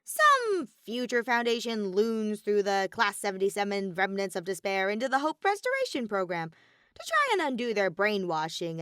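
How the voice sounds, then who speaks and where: A woman's voice: medium pitch, a bit bright, dry recording, studio quality. A woman voicing a mischievous, grumpy robotic bear villain with a flippant, cartoonish delivery, in a fan story reading.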